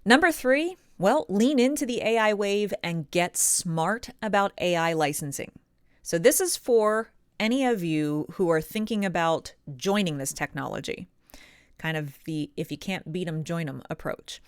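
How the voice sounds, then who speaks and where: A woman presenting instructional advice as a coach, with emphatic, deliberate stresses on key words. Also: lowish voice, expressive, clear, resonant tone, no room sound, studio quality. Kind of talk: instructional advice talk to camera.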